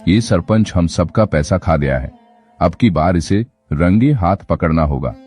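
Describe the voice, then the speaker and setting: A man playing an honest, defiant young villager in a cartoon story, speaking in an accusing, forceful manner. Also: deep voice, animated, a little slow, soft background music. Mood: determined. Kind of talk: Hindi moral-story cartoon dialogue.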